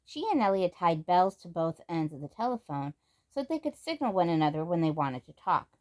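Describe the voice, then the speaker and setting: A woman reading a children's storybook aloud as an expressive narrator. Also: lowish voice, brisk. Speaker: storybook reader.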